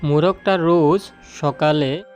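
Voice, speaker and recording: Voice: mid-range voice, steady tone, takes it slowly. A narrator telling a scripted children's story as a storyteller. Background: background music.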